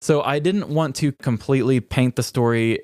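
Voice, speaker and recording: A man's voice: mid-range voice, moderate pace, a little mellow, no echo, studio quality. A man co-hosting a podcast, telling stories in casual chat and acting out quoted lines as he goes. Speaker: podcast co-host.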